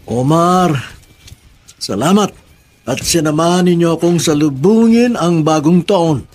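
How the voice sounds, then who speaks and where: A Filipino man playing an emotional older uncle, an ailing retired colonel, in a measured, sentimental radio drama style. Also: a little high, darkish tone. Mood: frail, grateful.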